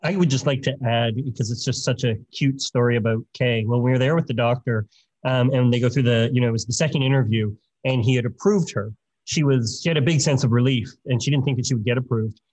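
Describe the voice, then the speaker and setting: A man's voice: medium pitch, quick pace, a little mellow, dry recording, poor audio. A man talking conversationally as a storyteller on a video panel. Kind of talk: conversational panel talk with anecdote. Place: indoor room on a video call.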